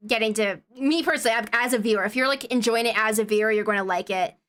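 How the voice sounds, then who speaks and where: Brief: woman streamer host, slightly high voice, rambling and giggly. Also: fast talker, a bit bright. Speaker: VTuber host. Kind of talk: casual stream chat.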